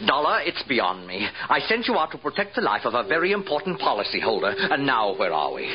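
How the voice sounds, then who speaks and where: Exasperated radio insurance boss. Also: male voice, mid-range voice, huge pitch swings, brisk. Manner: indignant, emphatic. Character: exasperated, fussy male insurance company manager. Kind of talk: 1940s radio drama acting. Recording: muffled old radio recording.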